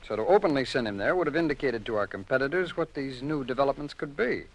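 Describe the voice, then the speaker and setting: A man acting a measured, courteous American federal agent in a 1950s radio drama, speaking formally with deliberate, discreet pauses. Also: medium pitch, expressive, rough recording. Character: measured, courteous male federal agent explaining a secret operation.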